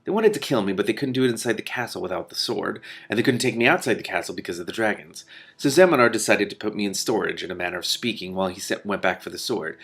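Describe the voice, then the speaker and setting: A man reading the part of a calm, wry king and father in a storybook. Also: fairly low pitch, fast, creaky, slightly rough, full character voice. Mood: measured, wry.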